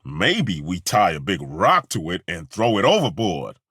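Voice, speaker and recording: A man voicing a gruff old male dwarf companion in a game, blunt and sardonic, with a dry, plain-spoken manner and frequent sighs. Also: low-pitched, huge pitch swings, a little slow, a bit bright, no room sound, full character voice. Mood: wry. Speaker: companion character. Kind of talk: game character voice lines.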